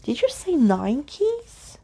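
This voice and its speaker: A woman's voice: sits high, very animated, slow, creaky, mellow, slight background noise, voice pushed up, full character voice. A woman voicing a fierce, scornful girl in a children's storybook, snapping impatiently and hurling insults. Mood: urgent.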